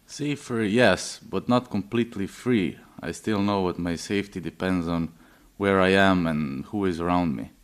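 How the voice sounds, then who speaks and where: A man's voice: low voice, normal speed. A man in his twenties from Serbia, giving a personal testimony hesitantly, with many fillers and restarts. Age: 20s. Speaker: interviewee.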